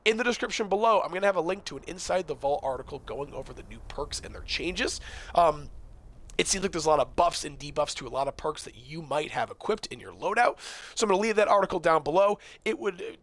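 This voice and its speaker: A young man hosting a casual game-tips video, talking straight to his viewers with lots of fillers, his voice growing hoarse. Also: on the high side, fairly bright tone. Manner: chatty, addresses viewers directly, frequent fillers. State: losing his voice. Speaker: gaming video host.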